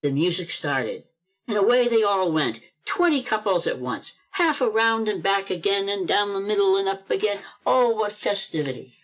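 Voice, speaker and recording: A man's voice: high-pitched, moderate pace, poor audio. Man narrating a radio play. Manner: expressive storytelling. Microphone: video call.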